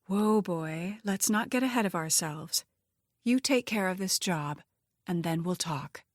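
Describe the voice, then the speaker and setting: A woman's voice: on the low side, animated, measured pace, dry recording, clean studio sound. Woman narrator as a menacing, scheming criminal woman. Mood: sly, flirtatious. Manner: menacing, taunting, condescending. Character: scheming, threatening, vain woman crime boss's underling.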